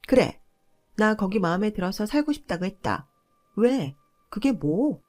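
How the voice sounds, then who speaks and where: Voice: mid-range voice, animated, moderate pace, a little mellow, pitched above the performer's own voice, natural voice. Pushy, scolding sister-in-law acted by a narrator. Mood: shouting defiantly. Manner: condescending, nagging, argumentative. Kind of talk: acted dialogue in a narrated family story.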